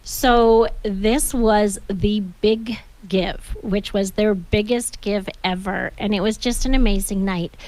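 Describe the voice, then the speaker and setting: A woman's voice: medium pitch, fairly even intonation, slow, hardly any pauses, darkish tone, no room sound, poor audio. A woman speaking as a nonprofit guest on a radio show, enthusiastic and upbeat, with frequent fillers. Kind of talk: casual interview talk. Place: radio studio.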